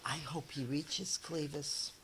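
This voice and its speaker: A middle-aged woman reading a children's storybook aloud in an expressive, deliberate teacher's manner. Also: low-pitched, slight background noise, rough recording. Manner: slow, deliberate, acts out dialogue.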